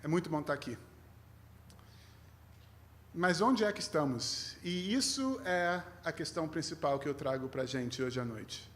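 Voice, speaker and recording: Guest rabbi, male, Brazilian accent with a mixed tinge, lightly raised pitch, conversational sermon with self-deprecating humour. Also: animated, measured pace, pauses often, a bit bright. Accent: Brazilian with a mixed foreign tinge. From Rio de Janeiro, Brazil.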